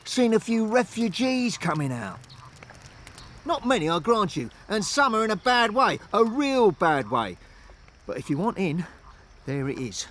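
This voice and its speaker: An actor voicing an ill, aching refugee who speaks slowly and wryly in a video game. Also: male voice, high voice, sing-song, a little hiss or hum, heavily acted. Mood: weary. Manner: slow, hushed, wry. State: ill, weak. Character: sick, aching male refugee, wry and desperate.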